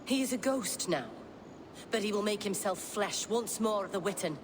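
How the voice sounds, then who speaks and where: A woman's voice: lively intonation, moderate pace, lightly acted. An actress playing a pious, fanatically loyal woman in a medieval video game, fervent, indignant and formal. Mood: fervent, zealous. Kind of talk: dramatic video game cutscene acting. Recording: rumbling ambient noise behind.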